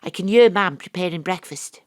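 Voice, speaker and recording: An actress playing a wry, world-weary Welsh private investigator, narrating and talking in an audio drama. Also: female voice, lowish voice, normal speed, natural voice. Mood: wry narration. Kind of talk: first-person audio drama narration and dialogue.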